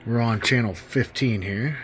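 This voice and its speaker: A man's voice: medium pitch, a little slow, fairly bright tone, a little hiss or hum. A middle-aged man casually demonstrating gear as a hobbyist video host, in a folksy, enthusiastic and informal manner.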